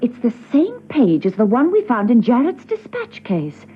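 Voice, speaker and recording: A woman's voice: on the high side, wildly swooping pitch, moderate pace, slightly rough, heavily acted. A woman playing a poised, inquisitive secret agent with an arch manner in a classic spy radio drama. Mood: thoughtful, intrigued. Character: poised, curious female secret agent, drily amused. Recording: dull, band-limited old radio recording.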